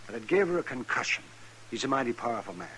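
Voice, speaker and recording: A grave American frontier doctor speaks with a sharp, forceful voice of middle pitch. It is old-time radio western acting with a little background noise. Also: male voice, sing-song, fast, low-quality recording. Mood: grave, urgent. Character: grave frontier town doctor, grown man.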